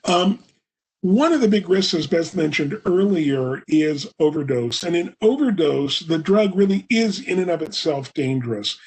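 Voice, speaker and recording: A hoarse man giving a webinar lecture, his voice sitting a bit high for a man and sounding husky and rough. He explains things at a measured pace, with frequent "uh" and "um" fillers as he goes. He speaks into a computer microphone. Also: expressive, a little mellow, rough recording. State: hoarse, coughing. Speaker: presenter.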